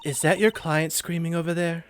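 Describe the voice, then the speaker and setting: A performer voicing a composed, encouraging senior defense lawyer in a courtroom video game, in a measured manner. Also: mid-range voice, flat delivery, close to an everyday voice. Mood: composed, curious.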